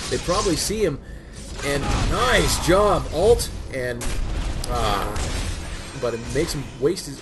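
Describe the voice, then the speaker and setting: Stuffy-voiced man commentating a game. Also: medium pitch. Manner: excitable, many fillers and restarts. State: stuffy from a cold. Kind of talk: solo play-by-play commentary.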